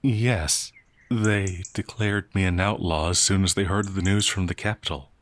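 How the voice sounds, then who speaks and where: A man playing a gentle, anxious werewolf outlaw in a fantasy ASMR roleplay, hesitant, stammering and apologetic. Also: low voice, steady tone, normal speed, no echo, lightly acted. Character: gentle, timid, anxious male werewolf outlaw, easily frightened.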